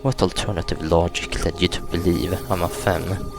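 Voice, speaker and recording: A man reading the text of a visual novel aloud in a measured, halting way, with pauses between phrases. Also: deep voice, steady tone. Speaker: gaming video host reading aloud. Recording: loud music behind the voice. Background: loud game music.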